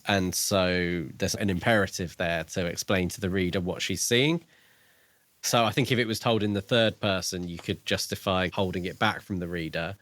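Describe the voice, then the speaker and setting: A man with a fairly low voice speaks as a podcast co-host. His delivery is casual and reflective, and the recording is dry and free of room sound. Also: steady tone, moderate pace, fairly bright tone, dry recording. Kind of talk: casual book discussion.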